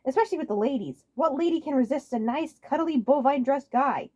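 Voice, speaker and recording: A woman's voice: sits high, a little fast, pitched above the performer's own voice, natural voice. A woman voicing a goofy, cocky, exuberant skinny man in a cow costume, brash and exclamatory, for cartoon fan fiction. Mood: smug. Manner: brash, exclamatory, prone to yelling.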